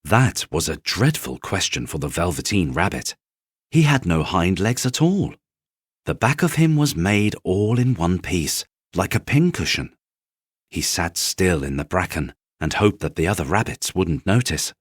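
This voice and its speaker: Deep, husky man reading a bedtime story. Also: no echo, studio quality. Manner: gentle, expressive, measured phrasing. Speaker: storyteller, narrator. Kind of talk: calm bedtime story read-aloud.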